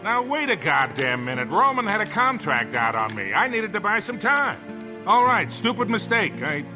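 A man playing an older, gruff and folksy rogue treasure hunter with an American accent in a cinematic video game, talking with a colourful storyteller's swagger. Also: high-pitched, big pitch swings, normal speed. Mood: defensive. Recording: music behind the dialogue.

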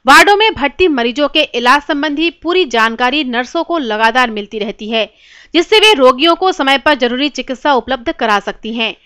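A woman narrating a scripted news report in a formal, measured reading style. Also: high voice, expressive, sharp tone, clear, resonant tone, no echo, studio quality.

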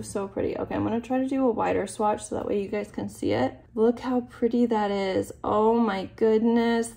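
A young woman speaks with a somewhat high voice that sounds a little nasal from a cold. She chats in a casual, upbeat way, gushing over each product. She is recorded close to a camera in her bedroom. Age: young adult. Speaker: beauty vlogger. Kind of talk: casual makeup unboxing chat. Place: bedroom, indoors.

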